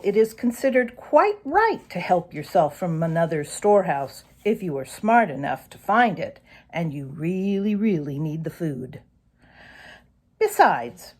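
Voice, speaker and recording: A woman narrates a children's story in an expressive read-aloud style. Her pitch moves widely, sits a little low, and she takes her time over the words. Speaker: storybook reader.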